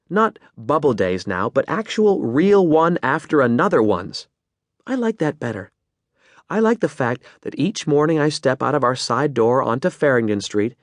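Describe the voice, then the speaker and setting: A man's voice: fairly high pitch, no room sound, studio quality. A man voicing a wry, reflective young woman as she types her private log in an audiobook. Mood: hopeful. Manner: wry, musing first-person reflection.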